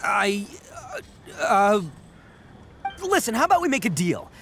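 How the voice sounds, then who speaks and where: A brash young oni gang boss with a high-pitched, wildly swinging delivery. He is a swaggering, theatrical video game braggart, playful and good-hearted underneath, heard over faint music. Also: very animated, measured pace, a bit bright, exaggerated voice. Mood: eager, cocky. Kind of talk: animated video game voice acting.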